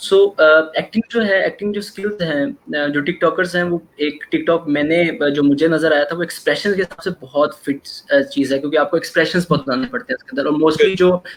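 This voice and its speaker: Halting Pakistani man hosting a chat. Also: fairly high pitch, steady tone, slight background noise, poor audio. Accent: Pakistani Urdu. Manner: hesitant, many fillers and restarts. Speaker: host. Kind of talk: online discussion. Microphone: video call.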